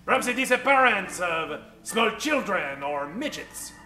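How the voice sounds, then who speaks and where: A man playing a pompous, secretive traveler in an old-time radio comedy, speaking formally and haltingly. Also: high voice, very animated, pushed and bright, echoey room, soft background music, heavily acted. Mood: scheming.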